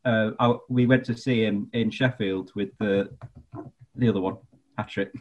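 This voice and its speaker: A man's voice: fairly low pitch, fairly even intonation, normal speed, highs cut off. A young British man chatting casually on a podcast, telling anecdotes in a hesitant, self-effacing way. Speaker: podcast co-host.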